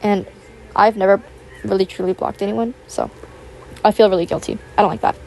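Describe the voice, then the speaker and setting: A young woman, raspy from just waking up, rambling chattily over a voiceover and recorded at home on a built-in tablet mic. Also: medium pitch, fairly even intonation, fairly quick, dark tone, a little hiss or hum, poor audio. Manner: rambling, filler-heavy, chatty. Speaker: YouTuber. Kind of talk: casual chat voiceover. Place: home, with household noise. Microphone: built-in tablet microphone.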